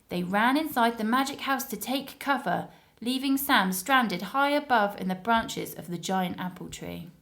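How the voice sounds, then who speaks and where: Slow, high-voiced woman reading to young children. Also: a bit bright. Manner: simple, child-directed phrasing. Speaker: playgroup story reader and presenter. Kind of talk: children's story read-aloud and chat to young viewers.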